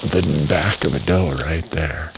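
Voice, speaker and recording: Deep-voiced guy in his 50s, slow easy talk, wry jokes, whispers when game's close, out in the snowy woods. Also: background noise. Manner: easygoing, wry, drops to a whisper near game. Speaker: hunting show host. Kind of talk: casual trail talk on a hunt. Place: snowy mountain forest outdoors.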